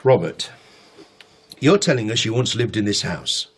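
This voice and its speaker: An actor in a radio drama playing an older father, flustered but firm, halting and stammering as he insists. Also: lowish voice, expressive, breathy edge. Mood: probing. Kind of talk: naturalistic radio drama acting.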